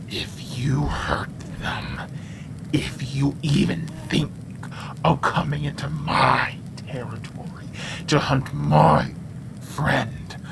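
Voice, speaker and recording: A woman playing a friendly but fiercely protective female wendigo, speaking haltingly and deliberately in an ASMR roleplay audio drama. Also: creaky, exaggerated voice. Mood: hushed threat. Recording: noisy low background ambience.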